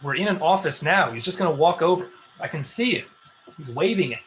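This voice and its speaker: A man as a bright-voiced, exasperated staffer. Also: on the high side, lively intonation, fairly quick, slight background noise, rough recording. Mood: stern. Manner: dry, sarcastic. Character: exasperated, sensible male talk show staffer. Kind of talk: comedy script table read.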